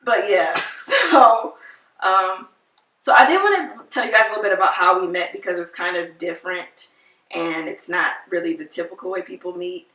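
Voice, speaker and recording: A woman in her twenties chatting casually with her partner on a vlog, teasing and quick to laugh. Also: fairly low pitch, sharp tone, recorded from a distance. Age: 20s. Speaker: vlog co-host. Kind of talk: casual couple chat. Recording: roomy, mic set back.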